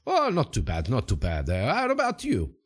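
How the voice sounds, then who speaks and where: A man voicing a friendly middle-aged Brazilian riverboat captain with a put-on, Italian-sounding Portuguese accent, eager and halting, repeating himself. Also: mid-range voice, huge pitch swings. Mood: cheerful greeting.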